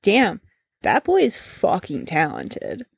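A woman's voice: on the low side, huge pitch swings, takes it slowly, creaky, breathy edge, no echo. A young woman chatting casually, rambling and swearing offhand, with a dry, phlegmy throat. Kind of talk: casual chat.

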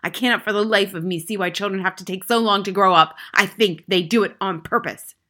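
A woman's voice: mid-range voice, fairly quick, pushed and bright, close to an everyday voice. A woman voicing a huge, ferocious headmistress in a story, barking and bellowing contemptuous orders and insults.

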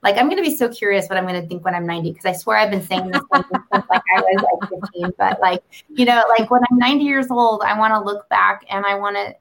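A woman hosting a talk show, chatting casually and motivationally in an animated, rambling, earnest way. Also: mid-range voice, fast, creaky, rough recording.

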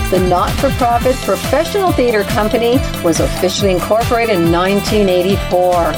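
A woman radio host speaks in a fairly high, very animated voice. She delivers scripted introductions and interview questions, with music playing underneath.

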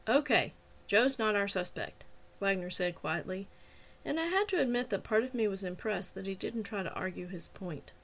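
A woman's voice: mid-range voice, expressive, brisk, gravelly. A woman reading scripted first-person fiction as the author and narrator of an audiobook podcast.

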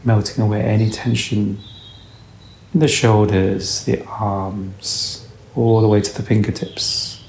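A man with a low, mellow voice guides a meditation in gentle, soothing phrases. His delivery is very slow and unhurried. The recording has a noticeable roomy echo around the voice. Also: little pitch movement, vocal fry. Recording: roomy echo, faint background noise.

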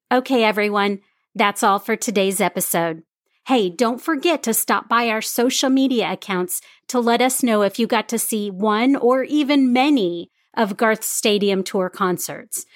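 A woman's voice: medium pitch, no room sound, studio quality. A woman hosting a podcast, reading scripted narration with chatty, enthusiastic asides to her listeners. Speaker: podcast host.

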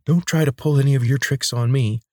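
A man's voice: mid-range voice, steady tone, a little fast, a little mellow, no echo, studio quality, pitched above the performer's own voice, lightly acted. A man voicing an angry, suspicious young woman guard who speaks sharply and accusingly. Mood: hostile.

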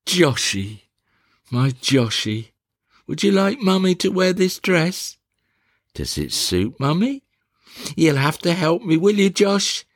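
A man doing a shrill fretful mother. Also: very animated, slow pace, long pauses, no room sound, studio quality, heavily acted. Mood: agitated. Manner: fussy, shrill, veering into outbursts. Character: fretful middle-aged upper-class English mother. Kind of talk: one-man reading of a stage play.

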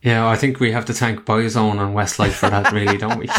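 Male podcast guest, Irish accent, fairly low pitch, conversational interview answers. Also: big pitch swings, normal speed, vocal fry, a bit bright. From Ireland. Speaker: podcast guest, company chief executive.

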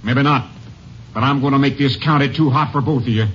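An actor playing a stern, blunt, honest county sheriff in a 1950s radio drama. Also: male voice, mid-range voice, a little fast, fairly bright tone, a little hiss or hum, close to an everyday voice. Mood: angry, commanding. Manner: blunt, accusing, commanding. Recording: muffled old radio transcription.

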